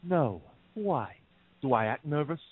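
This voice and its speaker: A man's voice: fairly high pitch, lively intonation, a little slow, slightly rough, no echo. An actor playing a volatile, bullying male kidnapper in an audio play, sneering and threatening. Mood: nervous, snapping. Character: menacing, volatile kidnapper, nervous and bullying man. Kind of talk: radio-play style acting. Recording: noisy, tinny phone-like line with clicks.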